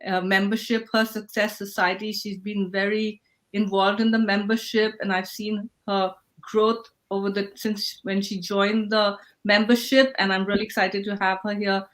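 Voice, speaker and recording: A woman's voice: mid-range voice, little pitch movement, moderate pace, fairly bright tone, rough recording. A woman hosting a casual livestream over a video call, upbeat and encouraging, often restarting her sentences. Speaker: host of a livestreamed hackathon session.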